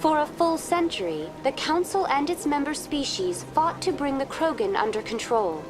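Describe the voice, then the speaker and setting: A woman playing a polite, unflappable virtual tour guide program in a video game, speaking in a formal, measured, impersonal way. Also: high voice, sing-song, over music. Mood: politely informative. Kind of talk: scripted informational narration in a video game.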